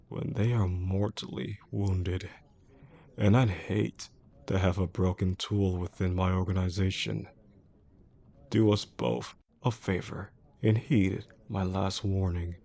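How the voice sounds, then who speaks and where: A man playing a possessive, menacing boss in a romantic audio roleplay, speaking in a controlled, formal way with a gravelly voice. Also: low-pitched, steady tone, dark tone, full character voice. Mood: cold, threatening. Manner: controlled, deliberate, formal wording. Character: possessive, menacing male boss obsessed with an employee.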